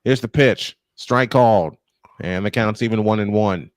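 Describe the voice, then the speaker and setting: A man's voice: on the low side, moderate pace, no echo. A man calling baseball play-by-play live, mixing it with casual chat in a folksy, exclamatory way.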